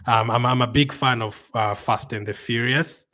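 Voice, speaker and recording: A man, hosting a casual livestream and answering viewer comments in a conversational, halting way. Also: medium pitch, steady tone, pushed and bright. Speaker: livestream host.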